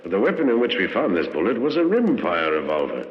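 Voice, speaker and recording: Commanding radio drama figure, echoey. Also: male voice, low voice, wildly swooping pitch, quick pace. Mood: measured. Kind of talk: old radio crime drama acting. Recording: muffled echoey old radio, loud music.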